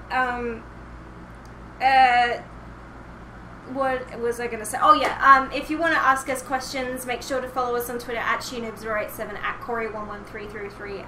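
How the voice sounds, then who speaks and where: A woman's voice: a little high, pushed and bright. A young woman hosting a podcast as a casual group chat, with a giggly manner. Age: young adult. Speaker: podcast host. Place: home room with shelves and posters, indoors. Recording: roomy, noisy home recording.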